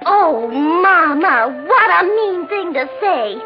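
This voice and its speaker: Southern young widow, coy and genteel, slow drawn-out delivery, dull vintage radio sound. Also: female voice, very high voice, expressive, full character voice. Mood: coy indignation. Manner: coy, genteel, theatrically indignant. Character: sweet, fussy, girlish young Southern widow who killed. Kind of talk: 1960s radio drama acting. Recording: dull vintage 1960s radio recording, music.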